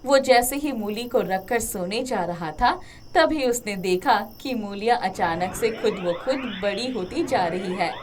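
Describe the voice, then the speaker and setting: A woman's voice: medium pitch, measured pace, slight background noise. A woman narrating a scripted moral story as a storyteller.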